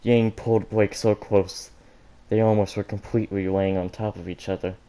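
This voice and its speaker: A man reading fan fiction aloud as an amateur, halting and stumbling over words, with joking asides. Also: fairly low pitch, level tone, warm tone. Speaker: reader of fan fiction for an online audience.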